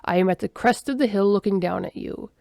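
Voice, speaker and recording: A woman voicing a calm, stubborn male alien ecologist who speaks slowly and deliberately. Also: mid-range voice, gravelly, no echo, studio quality, natural voice. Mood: firm. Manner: slow, deliberate and flatly dismissive.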